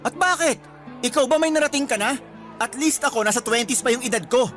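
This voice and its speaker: A man's voice: very high-pitched, a little fast, fairly bright tone. A man playing an arrogant graduate in his twenties, a scornful, taunting younger brother with a Filipino accent in a radio drama. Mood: taunting anger. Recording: music bed under the voice.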